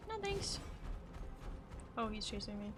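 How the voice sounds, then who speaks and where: Slow-paced woman game streamer. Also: mid-range voice, big pitch swings, music in the background. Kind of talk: casual live gameplay commentary.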